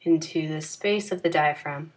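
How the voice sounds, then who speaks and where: A woman leading a guided yoga nidra meditation in a calm, gentle, soothing manner with unhurried phrasing. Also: low voice, poor audio.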